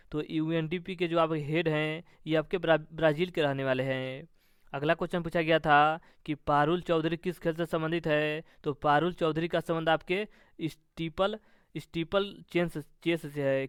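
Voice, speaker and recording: A man's voice: fairly high pitch, clean tone, no room sound, studio quality. A man teaching exam prep, methodically posing each question and then giving its answer.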